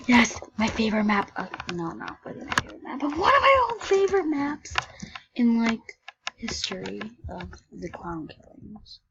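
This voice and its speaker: A woman commenting on a game she plays, excitable and jumping between shrieks and hushed whispers, sick and shivering with cold. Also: a little high, moderate pace, highs cut off, noisy background. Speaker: game video creator. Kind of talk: casual gameplay commentary.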